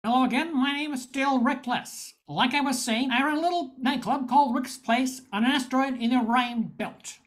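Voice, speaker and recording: A woman's voice: high-pitched, moderate pace, hardly any pauses, lightly acted. A woman playing a wry nightclub owner who narrates a space noir in an amateur radio play.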